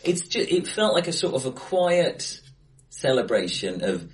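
A man co-hosting a casual film discussion, recorded face to face in an acoustically bright room. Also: a little high, big pitch swings, a little slow. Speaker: podcast co-host.

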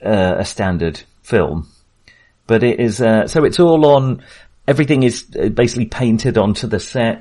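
A man co-hosting a podcast, discussing film casually in a halting, think-aloud way. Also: fairly low pitch, darkish tone. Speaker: podcast co-host.

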